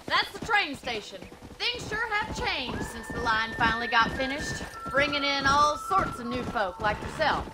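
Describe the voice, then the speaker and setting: An actress voicing a spirited young frontier ranch woman in a video game, teasing, wry and brisk. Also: sits high, lively intonation, loud music behind the voice, low-quality recording.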